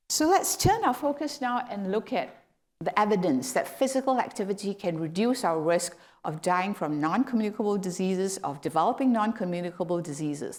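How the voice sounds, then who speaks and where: A woman's voice: on the low side, a little mellow. A middle-aged woman from Malaysia giving an academic lecture as a guest speaker. She explains steadily and lifts her voice to stress key words. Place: lecture hall.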